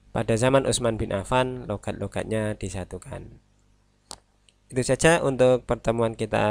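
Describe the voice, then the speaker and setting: A male religious studies teacher, giving a recorded online lesson. He explains step by step, often pausing on "nah" and "eh" and correcting himself as he goes. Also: lowish voice, creaky, a little mellow, dry recording.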